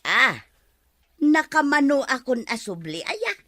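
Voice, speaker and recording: A long-suffering, grumbling village housewife voiced by a woman in a comedy audio drama. She gossips and complains in a somewhat high voice with a dry, close sound. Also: very animated, takes it slowly, sharp tone, no echo, full character voice. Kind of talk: comedy radio drama acting.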